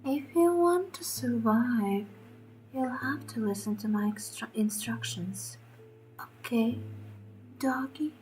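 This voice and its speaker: Horror game ghost girl, acted by a woman: high-ish mellow voice, blank, haunting, condescending, faintly threatening. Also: soft background music, poor audio. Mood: teasing command. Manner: measured, menacing, condescending. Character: blank-faced ghostly young girl, haunting, eerily calm, commanding.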